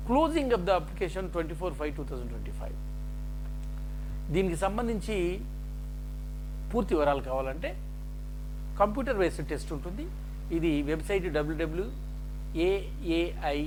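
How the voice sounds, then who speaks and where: Male presenter, very animated intonation, halting point-by-point explainer delivery, noisy recording with mains hum. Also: high-pitched. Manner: halting, list-like phrasing mixed with English terms. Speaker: jobs information presenter. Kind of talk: explaining a job notification point by point.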